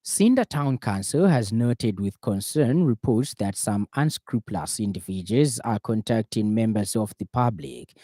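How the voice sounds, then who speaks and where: Low, mellow Zambian male newsreader. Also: no room sound, studio quality. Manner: formal, measured. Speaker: radio news anchor. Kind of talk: scripted news bulletin reading. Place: radio studio.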